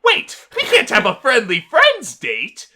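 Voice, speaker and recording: A woman's voice: on the high side, wildly swooping pitch, unhurried, pushed and bright, voice pushed up, full character voice. A woman voicing an exuberant, grandiose male skeleton royal guard for a visual novel game, with pompous, theatrical, emphatic delivery. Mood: flustered, eager.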